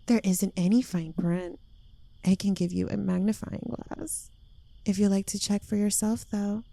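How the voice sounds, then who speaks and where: Smug, clingy witch girlfriend played by a woman for ASMR roleplay: unhurried, teasing delivery, very dry intimate close-mic sound. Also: mid-range voice, fairly even intonation, vocal fry, mellow, dry recording. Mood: coaxing, reassuring. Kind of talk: ASMR roleplay, soft intimate scripted acting. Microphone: close microphone.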